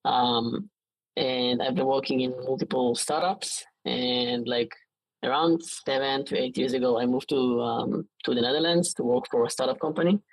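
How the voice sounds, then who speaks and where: A man in his late twenties with an Israeli accent, talking casually as an interview guest in a halting way with frequent false starts. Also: medium pitch, fairly even intonation, dry recording, poor audio.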